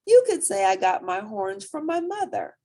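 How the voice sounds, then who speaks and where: A woman plays a blunt storybook rhinoceros, amused and emphatic. The pitch jumps high on the punchy words, with a husky grain. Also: sing-song, normal speed, warm tone. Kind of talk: children's storybook read-aloud.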